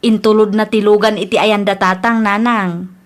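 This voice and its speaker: A woman playing a generous, respectful wife and dutiful daughter in an audio drama, speaking gently and politely. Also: mid-range voice, fairly even intonation, normal speed, lightly acted. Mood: cheerful.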